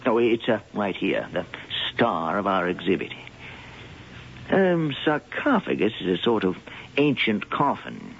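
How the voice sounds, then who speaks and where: An actor playing a dry, wry museum zoologist in a vintage radio drama, heard on a muffled old broadcast. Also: male voice, lowish voice, sing-song, a little slow, vocal fry, slightly rough, heavily acted. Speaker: zoologist professor. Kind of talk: 1970s radio drama acting.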